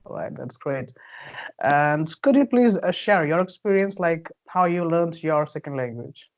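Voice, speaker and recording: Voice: mid-range voice, lively intonation, measured pace. Polite interview host, formal questions. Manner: polite, formal phrasing with brief affirmations.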